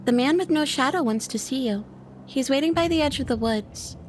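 Actress doing spooky little girl spirit, high voice, cryptic, ominous, horror audio drama. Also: fairly quick, slight background noise, lightly acted. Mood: eerie calm.

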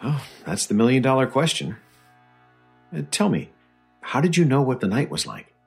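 An actor playing a patient doctor who gently coaxes and questions a witness in an audio drama. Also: male voice, mid-range voice, sing-song, normal speed, creaky, breathy edge, soft background music, heavily acted. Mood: coaxing, friendly. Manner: coaxing, mild, inquisitive. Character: patient, probing male doctor interviewing a witness. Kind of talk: audio drama acting, investigative interview.

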